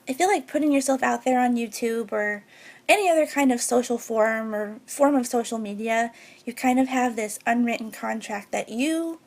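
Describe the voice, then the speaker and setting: A young woman with a high, girlish voice that sits above most women's, with a gravelly fry at the ends of her phrases. She speaks to her viewers earnestly and a little hesitantly, in a personal, heartfelt way. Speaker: beauty vlogger. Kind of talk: personal talk to camera.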